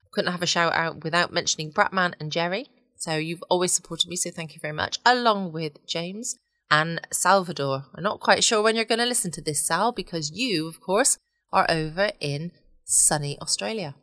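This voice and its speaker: A woman's voice: deep voice, a bit bright, no room sound, studio quality. A woman hosting a bedtime podcast in a cosy, chatty way. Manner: cosy, chatty, self-questioning asides. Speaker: podcast host. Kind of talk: relaxed bedtime podcast chat.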